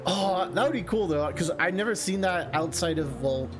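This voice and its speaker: A man's voice: high-pitched, animated, normal speed. A man hosting a casual, chatty let's-play, rambling and interrupting himself with enthusiastic asides. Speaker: video game streamer and host. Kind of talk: casual, chatty let's-play commentary with some read-aloud game text. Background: game background music.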